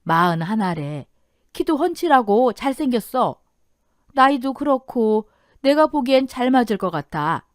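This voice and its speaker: A woman's voice: a little high, sing-song, unhurried, no echo, studio quality. A woman voicing a chatty middle-aged restaurant owner, an eager and emphatic matchmaker, in an audio drama.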